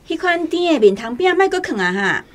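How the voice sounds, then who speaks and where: A woman's voice: very high voice, very animated, fairly quick. A woman playing a suspicious, exasperated countryside mother in a radio drama, with a Taiwanese accent. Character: suspicious, scolding countryside mother of a small boy.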